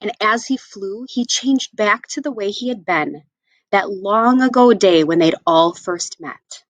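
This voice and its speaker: A woman tells a children's story in an expressive read-aloud style. Her pitch moves freely and animatedly as she shapes each phrase with care. The sound is dry, with no room echo. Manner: expressive, with deliberate phrasing and asides to listeners.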